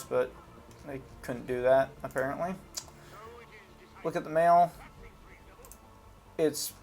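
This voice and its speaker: A man's voice: medium pitch, vocal fry. A man giving casual, unscripted commentary on a video game, talking informally and hesitantly as he thinks aloud. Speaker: gaming video host.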